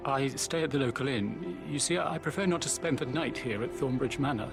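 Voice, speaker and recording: An actor playing an anxious, flustered professor over game music. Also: male voice, medium pitch, brisk, vocal fry, natural voice. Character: fretful British professor brother. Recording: game audio with music behind.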